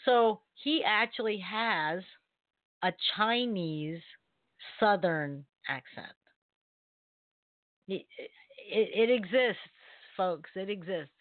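A woman's voice: medium pitch, animated, slow, long pauses, dry recording. A woman co-hosting an online talk show, chatting casually, often laughing and restarting her sentences. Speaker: co-host. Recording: thin phone-like audio.

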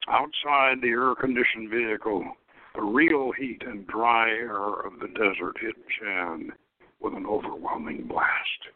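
A man's voice: lowish voice, unhurried, phone-quality sound. A man giving a dramatic, theatrical reading of a story, stumbling over the odd word. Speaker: show host reading own novel.

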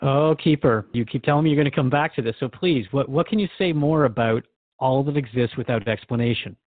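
An earnest, curious radio host, played by a man asks questions with a touch of nervous worry. His voice sits in a middle pitch. It sounds dry and thin, with a narrow, phone-like band. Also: fairly quick, dry recording, natural voice. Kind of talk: radio drama acting.

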